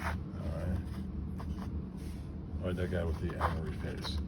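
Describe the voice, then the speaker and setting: A man's voice: low-pitched, little pitch movement, unhurried, heavy background noise. A man muttering offhand, casual commentary, mumbling and sometimes swearing. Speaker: host of a homemade puppet show.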